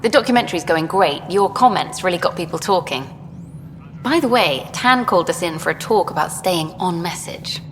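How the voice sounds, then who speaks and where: A woman's voice: on the low side, expressive, moderate pace, big-room sound, faint music behind, natural voice. A woman playing a chatty documentary maker. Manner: chatty, upbeat.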